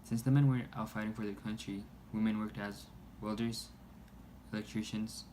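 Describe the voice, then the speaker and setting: A man reading a scripted news report aloud in a halting way, stumbling over words. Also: on the low side, steady tone, darkish tone. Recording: noisy amateur recording.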